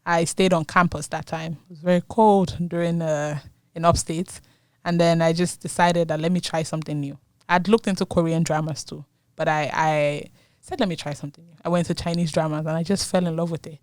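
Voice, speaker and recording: A woman's voice: low voice, fairly even intonation, fairly quick, darkish tone, dry recording, clean studio sound. A young woman in her twenties from Nigeria, speaking Yoruba natively, talking as a guest in a casual interview and laughing often between phrases. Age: 20s. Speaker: guest, university student.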